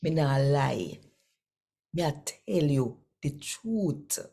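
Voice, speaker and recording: Jamaican female host and scripture reader. Mellow, slightly low voice, unhurried, addressing listeners directly. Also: animated, creaky. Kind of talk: conversational scripture reading in Jamaican Creole.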